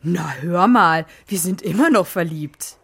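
A woman playing a romantic, nostalgic mother in a children's radio play, with a husky voice and a colloquial, exclaiming delivery. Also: fairly low pitch, lively intonation, unhurried, fairly bright tone, exaggerated voice. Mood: playful, nostalgic.

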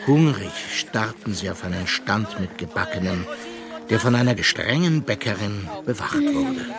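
An elderly storyteller in a children's radio play. His voice is low and moves expressively through the tale at a measured, reminiscing gait, with music behind it. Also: big pitch swings. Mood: suspenseful storytelling. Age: older. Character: elderly fairy-tale collector narrating his own memories.

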